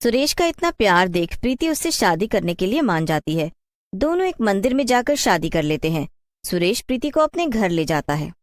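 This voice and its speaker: A woman's voice: medium pitch, big pitch swings, normal speed, dry recording, studio quality. A woman telling a scripted story as narrator.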